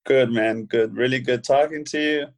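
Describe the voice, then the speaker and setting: A young Brazilian man with a Brazilian Portuguese accent, a footballer guesting on an interview, relaxed and full of "you know" fillers. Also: mid-range voice, normal speed. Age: young adult. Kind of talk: casual interview chat.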